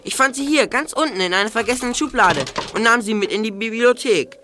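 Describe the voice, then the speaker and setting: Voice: mid-range voice, expressive, brisk, background noise, lightly acted. A performer playing a polite, eager English teenage boy in an audio drama, forthcoming and a little formal. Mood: regretful. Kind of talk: German audio drama acting.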